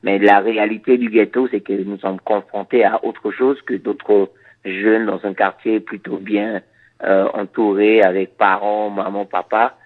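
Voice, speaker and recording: A reggae musician from Suriname, a man whose first language is Dutch, answering interview questions in a halting, thoughtful way with many repeated words. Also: fairly low pitch, little pitch movement, narrow, tinny audio.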